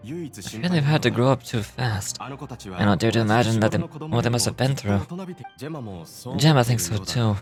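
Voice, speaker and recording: A kindly, modest magician played by a man reads from his diary as a game character. His delivery is reflective, with an occasional stumble, over soft background music. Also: mid-range voice, normal speed, pauses often, lightly acted. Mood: earnest, fond.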